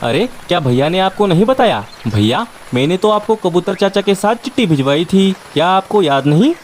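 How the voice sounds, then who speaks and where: A performer voicing a friendly, caring visiting father bird in a children's cartoon, polite and gentle. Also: medium pitch, sing-song, normal speed, a little hiss or hum, close to an everyday voice. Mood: kindly.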